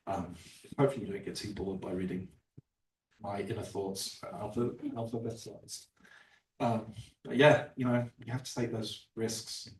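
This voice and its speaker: A man's voice: fairly low pitch, level tone, moderate pace, slight background noise. A man, an author, talking casually about his book at a bookstore event, rambling with many fillers and restarts. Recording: roomy, distant from the mic.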